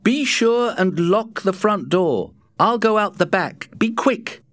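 A man's voice: high-pitched, animated, takes it slowly, fairly bright tone, no room sound, clean studio sound, voice pushed up, heavily acted. A man doing a fretful, nagging cabin helper. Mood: urgent. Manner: anxious, nagging.

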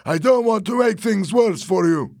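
A man's voice: on the high side, a little slow, creaky, no echo, studio quality, pitched above the performer's own voice. A man acting a cruel, menacing henchman in a story reading, barking orders and threats in a mocking way. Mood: threatening. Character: cruel, menacing henchman with a rifle, obedient to boss.

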